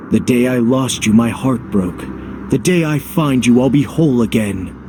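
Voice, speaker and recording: An actor playing a young game hero who shifts between earnest love declamations and dry deadpan. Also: male voice, mid-range voice, a little hiss or hum, natural voice. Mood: melodramatic longing. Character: young male hero reciting theatrical love speeches, reluctantly wry. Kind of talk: video game cutscene acting.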